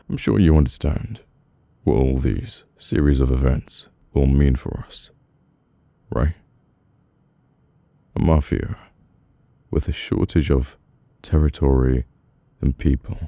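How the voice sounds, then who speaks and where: Very deep, gravelly British mafia boss played by a man. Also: dark tone, no room sound, full character voice. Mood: stern, reflective. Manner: slow, halting, weary menace. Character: brooding, domineering mafia boss, bitter and burdened. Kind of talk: intimate ASMR audio roleplay.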